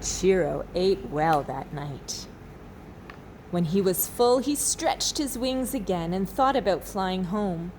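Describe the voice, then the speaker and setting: A woman teacher reading a children's storybook aloud expressively, with dramatic pauses. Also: lowish voice, lively intonation, darkish tone, a little hiss or hum, low-quality recording. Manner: slow, dramatic pauses, asks listeners questions.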